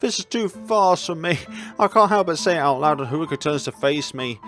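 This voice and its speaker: A man's voice: on the high side, lively intonation, a little fast, fairly bright tone, soft background music. A man narrating a visual novel as a gaming host, in a casual let's-play style with asides of his own.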